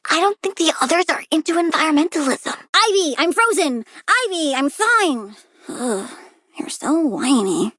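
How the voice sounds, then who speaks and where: A woman's voice: high voice, expressive, moderate pace, fairly bright tone, no echo, clean studio sound. A woman voicing a young girl plant villainess in a video game, childlike, blunt and pouty, with a volatile, morbidly cheerful temper. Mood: sulky, irritated. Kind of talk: video game character voice lines.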